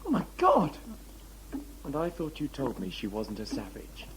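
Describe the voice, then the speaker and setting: A man's voice: mid-range voice, wildly swooping pitch, measured pace. A man playing a wry, squeamish travelling companion in a sci-fi audio drama, casual and exclamatory. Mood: appalled. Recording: noisy amateur audio-drama tape.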